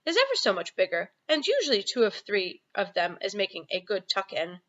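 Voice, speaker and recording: A woman's voice: mid-range voice, huge pitch swings, fairly quick, fairly bright tone, muffled recording. A woman voicing a friendly, boastful storybook giant who talks in mangled grammar and invented comic words. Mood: knowing, gleeful.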